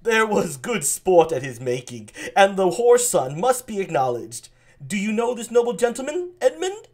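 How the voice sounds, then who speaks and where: A man voicing a dopey, boastful old nobleman in a play reading, with a high, loud, bumbling delivery. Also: big pitch swings, normal speed. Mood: bawdy boasting.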